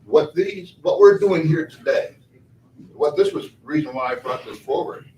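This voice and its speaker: A man's voice: fairly high pitch, normal speed, darkish tone. A county commissioner, a man, pushing back insistently during a board meeting debate. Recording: echoey hall, far from the mic, faint noise.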